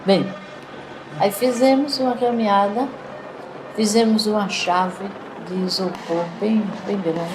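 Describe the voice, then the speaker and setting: A woman's voice: medium pitch, steady tone, takes it slowly, noisy background. A woman with a Recife Brazilian accent giving informal spoken testimony as a member of the community. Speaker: community member recounting memories. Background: music.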